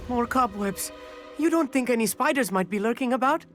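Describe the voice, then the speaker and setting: A man's voice: very high-pitched, lively intonation, moderate pace, over loud music. An actor playing an eager, nervous, bookish teenage boy wizard in a video game, earnest and chatty with a touch of pomp. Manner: earnest, slightly pompous, chatty. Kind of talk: video game character acting.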